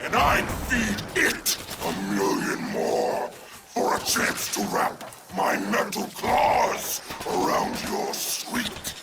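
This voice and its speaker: A man voicing a deranged, grotesque game villain warlord, gravelly, theatrical and gloating, bellowing his threats. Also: wildly swooping pitch, slow pace, full character voice. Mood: menacing relish. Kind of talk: video game villain acting. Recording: loud music and rumble behind.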